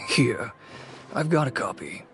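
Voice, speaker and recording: An actor playing a wary, wry merchant with a hesitant, mannered delivery in a video game. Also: male voice, mid-range voice, sing-song, slow pace, pushed and bright, faint music behind, exaggerated voice. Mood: weary, uneasy. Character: wary, sighing male merchant, mannered and apologetic.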